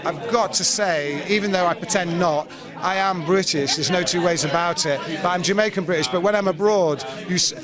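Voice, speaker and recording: A man's voice: high voice, moderate pace, over music, crowd noise. A man at a debate giving a frank opinion in an interview. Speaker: debate attendee. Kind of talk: interview comment.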